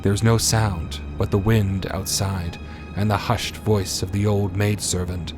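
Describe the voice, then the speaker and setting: A man's voice: on the low side, level tone, measured pace. A man announcing and narrating a radio drama in a scripted, old-time style. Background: music.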